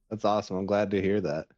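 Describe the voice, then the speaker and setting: A man co-hosting a podcast, talking casually through fight analysis and interview questions, on a lo-fi microphone. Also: on the low side. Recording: dull, lo-fi microphone audio.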